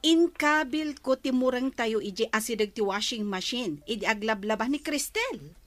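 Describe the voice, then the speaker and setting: A woman's voice: fairly high pitch, expressive, moderate pace, close to an everyday voice. A woman with an Ilocano accent playing a lazy, flippant young wife in a radio-style drama. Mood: whiny, defensive. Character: lazy, flippant young wife obsessed with social media reels.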